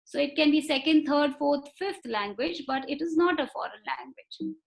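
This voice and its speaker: A woman giving a webinar lecture over a video call. She talks in a measured way, often repeating words for emphasis and pausing on fillers. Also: sits high, vocal fry. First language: not Marathi. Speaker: webinar speaker on foreign languages. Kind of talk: explanatory lecture.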